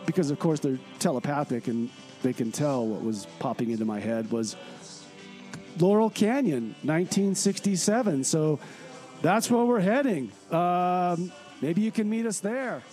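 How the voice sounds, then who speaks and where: Gossipy laid-back traveler, played by a man, higher male voice, confiding radio-comedy monologue over music. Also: lively intonation, a little slow, darkish tone. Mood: breezy.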